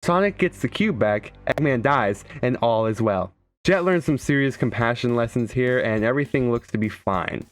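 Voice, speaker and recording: A man's voice: medium pitch, lively intonation, normal speed. A young man giving joking, irreverent video game commentary in a sarcastic, sweary way.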